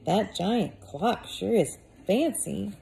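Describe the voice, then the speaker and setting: A woman voicing a polite, curious young boy in a storybook read-aloud, speaking gently and innocently. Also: mid-range voice, lively intonation, takes it slowly, vocal fry, slight background noise, voice pushed up, full character voice.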